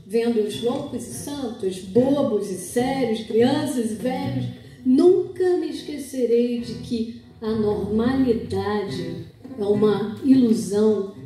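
A Brazilian woman with a somewhat high but mellow voice speaks slowly and deliberately as she hosts a poetry evening. A faint guitar plays behind her, and the very echoey hall makes her sound far from the mic. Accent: Brazilian Portuguese. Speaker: event host. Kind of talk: poetry reading and hosting a poetry evening. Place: small auditorium stage, indoors. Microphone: stand mic, recorded by a far camera.

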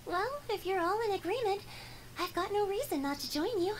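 A woman voicing a gentle, wistful travelling poet in a video game, calm, modest and friendly. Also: extremely high pitch, normal speed, a little hiss or hum. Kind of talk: English video game dialogue acting.

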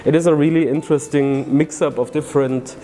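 A man's voice: on the high side, fairly even intonation, a little fast, mellow. Rambling young man. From Germany. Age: young adult. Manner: thoughtful, rambling, gesturing with his hands. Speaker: film student. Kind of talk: interview answers to camera. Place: indoor corridor.

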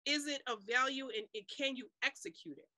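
A woman's voice: high voice, a little fast, no room sound. A middle-aged woman guest on a video-call interview, telling her story animatedly, laughing and acting out her doubts. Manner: animated storyteller, laughs often, acts out inner voice. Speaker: guest author. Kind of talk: conversational interview. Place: room with blue cloth backdrop. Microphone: webcam on video call.

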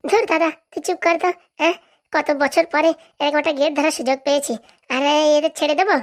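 A woman's voice: high voice, steady tone, quick pace, lightly acted. A woman voicing a bossy, scheming village woman in a comic cartoon, scolding and demanding as she haggles. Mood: haggling, defiant.

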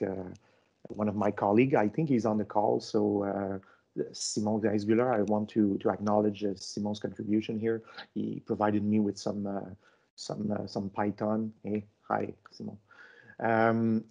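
Gravelly man presenting online. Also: fairly low pitch, mellow. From Canada. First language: French. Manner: halting, many fillers and restarts. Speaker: conference presenter. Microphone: video call microphone. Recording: dull lo-fi call audio.

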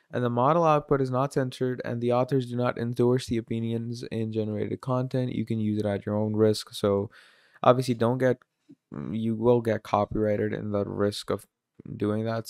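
A man giving a casual screen-recorded software tutorial, filling his talk with "obviously" and "you're gonna". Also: on the low side, smooth, dry recording, studio quality. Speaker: tutorial presenter.

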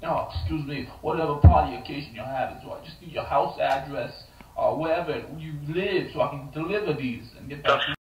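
A man's voice: a little high, fairly quick, few pauses, lightly acted. A man playing an insistent, muddled pizza delivery driver in a prank call, hesitant and rambling. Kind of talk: prerecorded prank call skit. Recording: dull, echoey, distant playback with noise.

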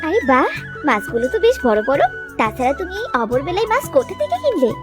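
A woman playing a nagging but devoted village housewife in a cartoon, expressive, shifting between scolding and coaxing. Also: high voice, fast talker, over music. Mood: pleased, affectionate. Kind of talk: cartoon voice acting.

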